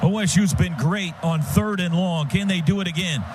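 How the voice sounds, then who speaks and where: A man's voice: high voice, moderate pace. An American man calling live football play-by-play on the radio in short clipped phrases. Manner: clipped phrases, calls action as it unfolds. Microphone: broadcast booth microphone. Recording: loud stadium noise behind the voice. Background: loud outdoor stadium ambience.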